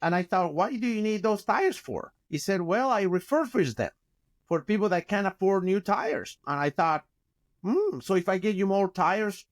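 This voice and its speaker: A man's voice: high-pitched, moderate pace. A middle-aged man with a Chilean Spanish accent, telling stories as a guest on a video call from his office. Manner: animated, gesturing with hands, frequent restarts. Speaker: guest, company founder. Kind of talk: casual interview storytelling. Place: office with bookshelves, indoors.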